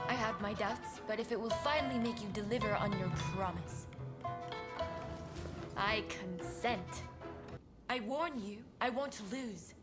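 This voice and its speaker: Game cutscene: female actor as imperious, combative lady, unhurried and theatrical, over loud music. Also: medium pitch, close to an everyday voice. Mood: proud, determined. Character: haughty, lovestruck, combative noble lady. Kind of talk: video game cutscene acting.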